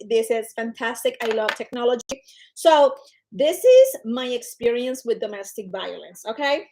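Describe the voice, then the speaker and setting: A woman from Colombia with a Colombian Spanish accent, talking casually and energetically to viewers on her own livestream. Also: a little high. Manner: energetic, chatty, greets viewers by name. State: recovering from illness. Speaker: livestream host.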